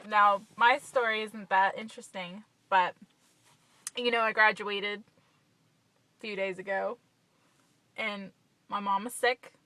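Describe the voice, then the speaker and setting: A woman's voice: mid-range voice, steady tone, takes it slowly, long pauses, bright, forceful tone, no room sound. A woman in her twenties with an American accent, chatting casually to camera as a vlogger. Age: 20s. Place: inside a parked car at night. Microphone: phone at arm's length.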